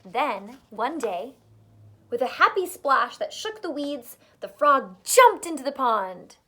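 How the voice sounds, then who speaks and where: A woman teacher reading a storybook aloud to children, expressive, with dramatic pauses and emphasis. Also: high voice, low-quality recording.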